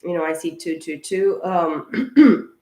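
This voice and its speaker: A woman with a fairly low voice talks through a spiritual tarot reading, meandering and restarting her sentences as she goes. Her voice is a little hoarse, and she clears her throat now and then. The room around her rings with noticeable echo. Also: animated, slow, dark tone. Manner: rambling, with many fillers and restarts. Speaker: tarot reader. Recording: echoey room.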